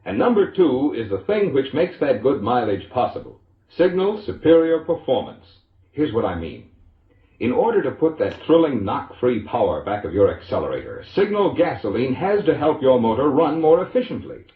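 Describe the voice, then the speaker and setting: A man's voice: mid-range voice, very animated, moderate pace. An American man reading scripted 1940s radio advertising as an announcer, with a polished, emphatic salesman delivery. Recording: vintage radio recording, roomy echo, muffled lo-fi.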